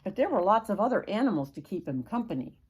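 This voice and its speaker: Older woman, animated, slightly husky storyteller. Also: medium pitch, fast. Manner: expressive, asks the young listener questions in asides. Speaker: grandmother reading aloud to children. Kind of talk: read-aloud of a children's picture book.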